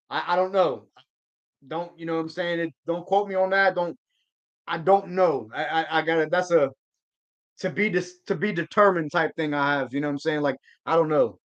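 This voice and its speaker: A man hosting a live sports stream, chatting casually and answering viewer comments in a rambling, slangy way. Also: on the high side. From Georgia. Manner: laid-back slang, rambling restarts.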